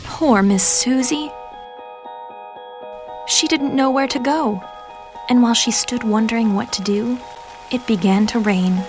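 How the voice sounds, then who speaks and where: A woman narrating a children's storybook in an expressive, measured read-aloud manner. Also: on the high side. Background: music.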